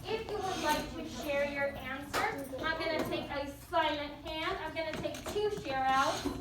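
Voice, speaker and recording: High-voiced woman teacher, echoey and distant. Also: normal speed. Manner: encouraging, prompting with questions. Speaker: elementary school teacher. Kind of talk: interactive read-aloud lesson to children. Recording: echoey, noisy, distant mic.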